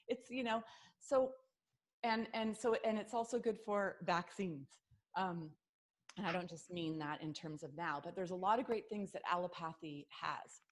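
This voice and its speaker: Middle-aged female health coach hosting a video-call workshop; chatty, earnest, prone to tangents. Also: mid-range voice. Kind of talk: informal online workshop talk.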